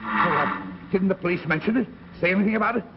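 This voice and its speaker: An actor playing an obsessive, excitable professor who pleads in halting, repeated phrases in a 1950s drama. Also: male voice, high voice. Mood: anxious probing. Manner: agitated, halting, repeats words. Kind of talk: 1950s anthology drama acting. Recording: noisy, muffled 1950s broadcast recording.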